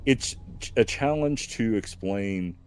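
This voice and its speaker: Slow, deep-voiced man in his fifties. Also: expressive, a little hiss or hum. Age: 50s. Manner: slow, reflective, many fillers and restarts. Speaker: stream host. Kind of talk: casual chat.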